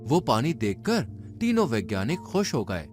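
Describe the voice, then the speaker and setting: Animated male story narrator, music behind. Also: mid-range voice, moderate pace. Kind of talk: scripted dramatic story narration. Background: background music.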